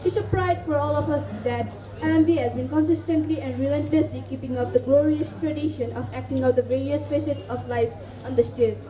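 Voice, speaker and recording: A woman's voice: high-pitched, steady tone, brisk. A young woman hosting a stage event, giving a formal, ceremonious scripted welcome speech. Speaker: event host. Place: school stage in a hall, audience behind. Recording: echoey hall, distant mic, crowd noise.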